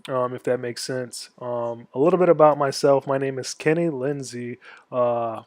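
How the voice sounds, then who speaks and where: A man in his twenties from Florida, an army veteran walking viewers through a tutorial in a casual, halting way, stuffed up from a cold. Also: medium pitch, a little mellow, no room sound, studio quality. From Florida, USA. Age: 20s. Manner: halting, many ums and restarts. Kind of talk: casual screen-share tutorial.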